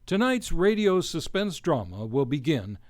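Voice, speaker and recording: A man's voice: fairly high pitch, big pitch swings, measured pace, a little mellow. A man hosting a radio drama show, announcing from a script in a grand, theatrical old-time radio manner.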